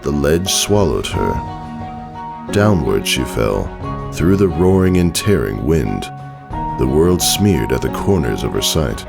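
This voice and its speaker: A man narrates fiction in a very low voice with a creaky edge. His delivery is measured and dramatic, moving expressively through the lines over background music. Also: very animated. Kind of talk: scripted story narration. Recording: music under the voice.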